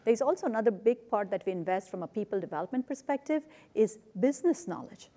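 A woman's voice: medium pitch, animated, quick pace, dark tone. A woman speaking on a conference panel through a lapel mic, earnest, often repeating "means" and "right?". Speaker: panelist, corporate IT executive. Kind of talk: panel discussion. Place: conference stage.

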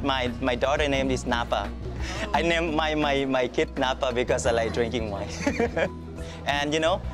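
Thai-accented restaurant owner over music. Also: male voice, medium pitch. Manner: proud, friendly, broken English with laughs. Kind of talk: interview clip. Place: restaurant dining room. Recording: music bed underneath.

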